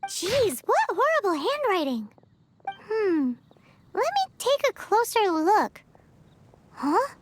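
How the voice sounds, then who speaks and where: A woman voicing a tiny, excitable, cheeky fairy companion in a video game, with a squeaky childlike voice and perky, exaggerated delivery. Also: slow pace, long pauses, heavily acted. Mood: curious. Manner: perky, exaggerated, speaks in third person. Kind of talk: animated video game voice acting.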